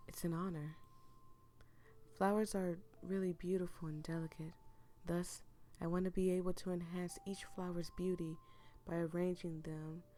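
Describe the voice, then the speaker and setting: A hoarse woman voicing a gentle, polite young male florist, speaking softly and courteously. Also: on the low side, level tone, slight background noise, natural voice. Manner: soft, courteous, measured.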